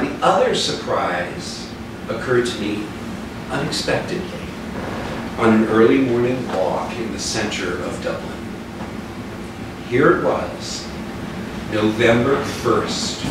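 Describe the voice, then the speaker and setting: A man in his sixties, a minister, giving a reflective sermon with personal anecdotes in deliberate, halting phrases. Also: medium pitch. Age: 60s. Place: living room with small group, indoors. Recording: very echoey room, distant mic, noisy.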